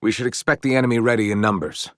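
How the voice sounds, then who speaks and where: An actor voicing a courteous, earnest and gently proud young knight in a video game, with formal, eloquent phrasing. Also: male voice, medium pitch, fast talker, dry recording, studio quality, natural voice. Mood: grave, measured. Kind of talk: video game character voice lines.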